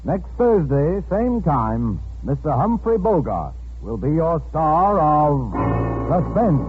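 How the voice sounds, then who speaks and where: A man's voice: fairly high pitch, very animated, slow pace. An American man introducing a suspense drama as host, in scripted 1940s radio style. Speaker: suspense show host and narrator. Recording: tinny 1940s radio, loud music behind.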